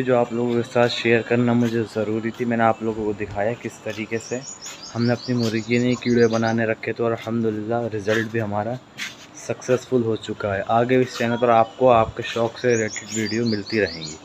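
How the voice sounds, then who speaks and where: A man's voice: medium pitch, level tone, moderate pace. Young man vlogging over noisy outdoor background. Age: young adult. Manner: instructional, addressing viewers directly. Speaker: vlogger keeping hens. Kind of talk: casual vlog explanation to viewers. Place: outdoor yard with plants and brick walls. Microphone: phone at arm's length. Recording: clear background noise, outdoor birdsong.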